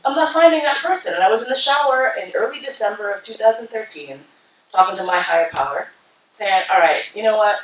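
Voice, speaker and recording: A woman's voice: medium pitch, expressive, brisk, far from the mic, a little hiss or hum. Frank, comic woman speaking in a roomy hall. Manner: frank, profane and comic, acting out her own past lines. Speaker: keynote speaker. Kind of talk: live storytelling talk to an audience. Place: conference hall.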